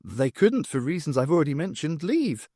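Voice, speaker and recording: Audiobook alien, ancient male rebel poet, last survivor, high lilting delivery, rambling and wistful, performed by a man. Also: sing-song, moderate pace, a little mellow, slightly rough, dry recording, clean studio sound. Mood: eager reminiscing.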